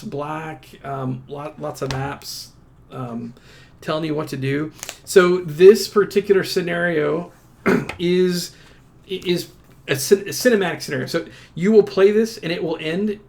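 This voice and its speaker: A man with a creaky, gravelly voice giving a casual product walkthrough in a halting, self-interrupting way. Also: a little high. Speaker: unboxing presenter.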